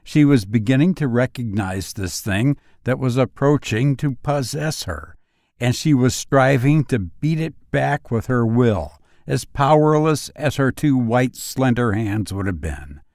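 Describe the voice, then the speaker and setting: Slow, measured male story narrator. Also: mid-range voice, expressive, a little mellow, dry recording, studio quality. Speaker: audiobook narrator. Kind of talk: scripted literary story narration.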